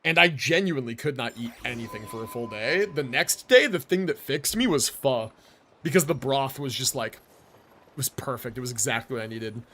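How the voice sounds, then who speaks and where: A man's voice: mid-range voice, animated, moderate pace, bright, forceful tone, slight background noise. A young man streaming games, chatting casually with slangy, profane phrasing. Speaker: game streamer. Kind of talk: casual livestream chat.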